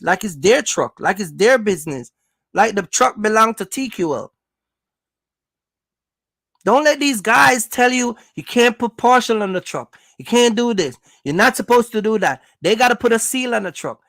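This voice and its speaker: This is a host with a noticeably creaky voice, recorded dry with very little room sound. The delivery is casual, motivational advice straight to viewers. Points are stressed and repeated, with regular check-ins that the listener follows. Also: mid-range voice, a bit bright, no room sound. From Jamaica. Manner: emphatic, repetitive, checks in with listeners. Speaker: host, trucking business coach.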